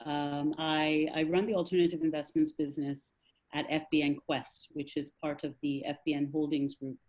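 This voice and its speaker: A woman investor from Nigeria introducing herself on an online panel, measured and hesitant with ums. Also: deep voice, level tone, darkish tone. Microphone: video call.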